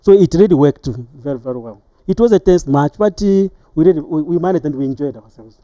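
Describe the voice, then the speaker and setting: A middle-aged man with a Zambian accent recounting his experiences as a retired referee in an interview, halting and repeating words as he goes. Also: a little high, dark tone, no room sound.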